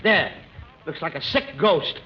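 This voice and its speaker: A man playing an excitable, mischievous sidekick to a broke showman, in 1940s radio comedy style. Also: on the high side, a little slow. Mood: gleeful, urgent. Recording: dull, noisy 1940s radio recording.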